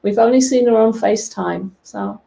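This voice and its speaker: A woman's voice: fairly high pitch, normal speed, creaky, warm tone, poor audio. An older woman with an English accent, chatting playfully as a guest over a video call from her sewing room. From England. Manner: playful, self-mocking. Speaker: guest quilting teacher. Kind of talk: casual chat.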